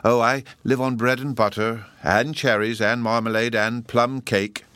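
A man voicing a very gentle old lion in a storybook, mild, polite and reassuring. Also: mid-range voice, fairly even intonation, unhurried, no room sound, natural voice. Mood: polite, pleased. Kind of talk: storybook character voice in audiobook reading.

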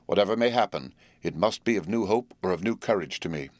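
A man voicing a brave, sorrowful young woman who speaks quietly, earnestly and with resolve. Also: sits low, lively intonation, fast, dry recording. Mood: resolute.

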